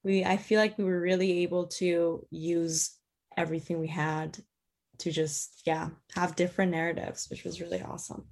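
Woman from Iran, guest in an interview, lowish voice, halting delivery full of ums. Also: flat delivery, darkish tone. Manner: hesitant, many fillers and restarts. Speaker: theatre artist, interview guest. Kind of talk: casual interview talk.

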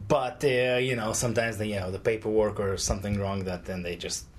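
A man's voice: on the low side, steady tone, fairly quick, a little hiss or hum. Man hosting an interview. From Russia. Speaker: podcast host, interviewer. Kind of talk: casual interview.

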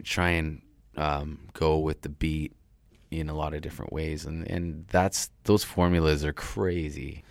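A man with a deep voice, a rapper talking loosely in an interview with many restarts. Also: fairly bright tone, clean tone, dry recording, studio quality. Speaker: rapper guest. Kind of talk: casual interview talk.

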